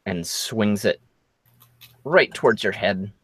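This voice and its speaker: Male game master, low voice, unhurried halting narration, lively intonation, tabletop session. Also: slightly rough, no echo, studio quality. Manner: halting, drawn-out storytelling delivery.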